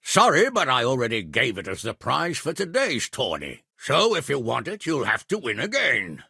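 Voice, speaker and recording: A man playing a blunt, hot-tempered middle-aged medieval lord in a video game, with a raspy, gravelly voice and an authoritative manner. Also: mid-range voice, wildly swooping pitch, normal speed, pushed and bright, no echo, studio quality, full character voice. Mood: gruffly paternal. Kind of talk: video game character acting.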